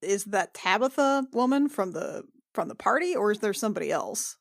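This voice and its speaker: A woman's voice: medium pitch, expressive, normal speed, vocal fry, no room sound, clean studio sound. A woman chatting casually as a player in a tabletop roleplaying podcast. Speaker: podcast cast member and player.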